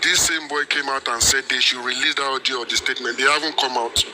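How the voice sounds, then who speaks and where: A man in an online discussion, measured and pushing back. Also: medium pitch, steady tone, background noise. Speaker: panelist.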